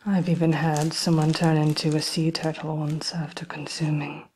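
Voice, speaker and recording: A woman playing a sly, lonely evil queen shopkeeper in a whispered ASMR roleplay, speaking in a coy, genteel, teasing way with quiet menace. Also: deep voice, flat delivery, moderate pace, a little hiss or hum, natural voice. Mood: sly, proud. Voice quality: breathy, mostly whispered. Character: sly, lonely evil queen selling cursed caramel apples. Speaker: roleplay shopkeeper addressing a customer.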